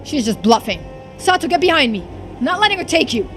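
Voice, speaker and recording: A woman's voice: high voice, big pitch swings, brisk, fairly bright tone, faint music behind. A woman voicing a tough, blunt, protective young character in a visual novel read-through. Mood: defiant, protective.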